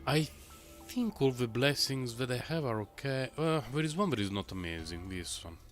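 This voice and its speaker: A man livestreaming a game, talking in a rambling, chatty way full of fillers, his voice a little low and rough from a recent cold. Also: lively intonation, moderate pace, soft background music. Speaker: game streamer. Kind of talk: casual live-stream gameplay commentary.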